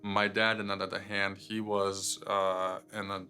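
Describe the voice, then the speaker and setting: A young man from Ukraine tells his story in a bright, forceful voice that stays low and very flat in pitch. He talks haltingly, full of fillers and restarts. Faint music plays underneath. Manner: halting, many fillers and repeated words. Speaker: guest sharing his testimony. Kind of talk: personal testimony, unscripted storytelling.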